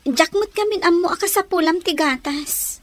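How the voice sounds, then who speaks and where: Very high-voiced Ilocano housemaid. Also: female voice, moderate pace. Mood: aggrieved. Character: put-upon young housemaid, plaintive but dutiful. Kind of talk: Ilocano radio drama acting.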